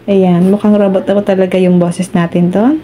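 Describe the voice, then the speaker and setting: A woman's voice: mid-range voice, level tone, moderate pace, background noise. A Filipino woman hosting a step-by-step phone tutorial, addressing viewers politely as she gives instructions. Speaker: tutorial host.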